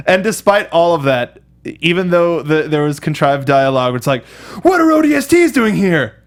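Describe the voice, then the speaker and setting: A man co-hosting a review show in casual, animated chat, often acting out imagined lines and reactions as he talks. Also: fairly high pitch, normal speed. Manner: acts out imagined lines and reactions while talking. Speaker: co-host of a film review show.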